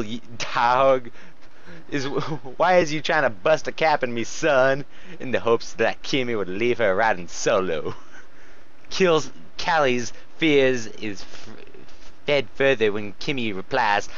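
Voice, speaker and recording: A man's voice: medium pitch, fairly bright tone, lo-fi sound. A man reading fan fiction aloud, giggly and often cracking up between joking asides. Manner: giggly, often breaking into laughter while reading. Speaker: fan fiction co-reader and commentator.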